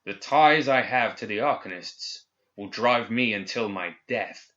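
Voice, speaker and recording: Man reading as a bitter, terse, low-voiced man. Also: expressive, measured pace, pushed and bright. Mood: bitter resolve. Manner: terse, grimly determined. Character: bitter, feverish younger brother, guarded and vengeful man. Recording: slightly dull, band-limited recording.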